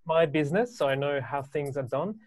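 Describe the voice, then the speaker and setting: A man in his thirties with a French accent, explaining things casually and haltingly as an interview guest on a video call. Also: a little high, darkish tone, dry recording. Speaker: guest, business owner and educator. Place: small indoor room, video call.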